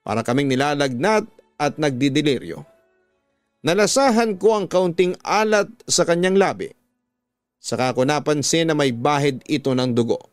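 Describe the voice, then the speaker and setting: A Filipino man with a slightly high voice tells a dramatic radio story in slow, emotive phrases. He is recorded close and dry, with music playing underneath. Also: no room sound. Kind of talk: dramatic scripted story narration. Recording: music bed behind a dry studio voice.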